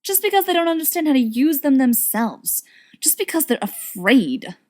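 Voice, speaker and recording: A woman's voice: high voice, big pitch swings, normal speed, voice pushed up. An earnest young wizard played by a woman reader in a fantasy audiobook. He talks persuasively and thoughtfully, with a bitter, aggrieved conviction under the polite manner. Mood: indignant.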